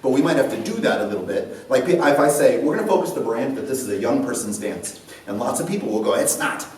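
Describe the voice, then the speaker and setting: A man co-hosting a talk about dance before a live audience, animated and laughing often, repeating his words. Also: medium pitch, quick pace, heavy reverb, far from the mic, a little hiss or hum, rough recording. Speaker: dance instructor and show co-host. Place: event room with a live audience.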